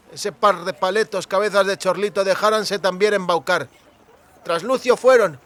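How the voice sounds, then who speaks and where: Audiobook role: boisterous drunk country lad, very high strained voice, bright and forceful, rustic speech. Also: male voice. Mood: chatty, grumbling. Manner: exclamatory, colloquial rustic speech with clipped words. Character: drunken, boisterous young cooper, rustic and friendly.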